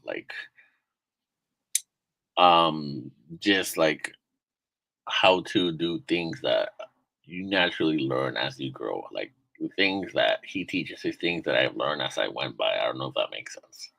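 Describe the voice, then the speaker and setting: Deep-voiced young man, halting casual chat. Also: expressive, gravelly, sharp tone, dry recording, rough recording. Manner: halting, many fillers and restarts. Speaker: streamer host. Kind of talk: casual chat, interviewing a guest.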